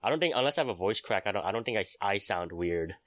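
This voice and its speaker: A young man co-hosting a casual podcast, rambling and halting with many restarts and fillers. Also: on the low side, animated, fairly bright tone, no echo. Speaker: podcast co-host. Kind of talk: casual chat.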